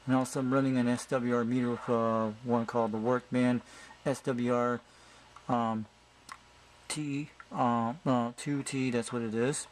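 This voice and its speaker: Casting: man, average pitch, friendly CB hobbyist, casual slangy delivery, noticeably noisy homemade recording. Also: level tone, a little slow. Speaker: amateur CB radio operator. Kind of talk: casual hobbyist chat and radio talk. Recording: clearly noisy home video recording.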